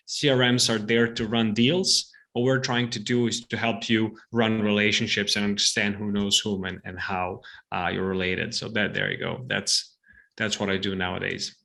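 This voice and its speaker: A man's voice: lowish voice, little pitch movement, normal speed, creaky, bright, forceful tone. A middle-aged man from the Serbia region talks through his startup story as a podcast guest. His speech is loose and conversational, with frequent restarts, doubled words and fillers. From Serbia, Balkans region. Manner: repeats words often, many fillers. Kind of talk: casual podcast interview.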